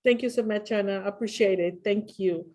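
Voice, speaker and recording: A woman's voice: mid-range voice, normal speed, warm tone. A woman moderating a business panel, thanking a speaker and posing the next question in an upbeat, projecting way.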